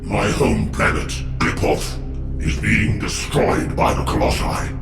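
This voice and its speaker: An actor voicing a menacing, bitter alien warlord in a video game, with slow, grandiose, gravelly delivery. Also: male voice, huge pitch swings, heavily acted. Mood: grave, proud. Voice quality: gravelly, very deep. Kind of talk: dramatic video game villain monologue. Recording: echoing, with music underneath.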